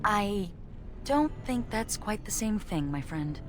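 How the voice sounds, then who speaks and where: A woman playing a stoic female dwarf warrior in a video game, sober, dry and deadpan. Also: mid-range voice, slow pace, big-room sound, music in the background. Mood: wry.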